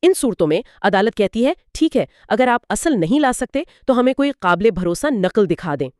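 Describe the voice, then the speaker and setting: A woman's voice: a little high, big pitch swings, normal speed, darkish tone, no echo, studio quality. A woman, explaining legal points step by step with examples, as co-host of an educational dialogue.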